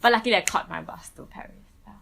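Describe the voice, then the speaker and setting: A woman's voice: medium pitch, fast talker, creaky, noisy background. A young woman from Bangladesh talking casually about her life in an interview, with lots of "like" and "um" fillers. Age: young adult. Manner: chatty, frequent "like" and "um" fillers, self-restarts. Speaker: student interviewee.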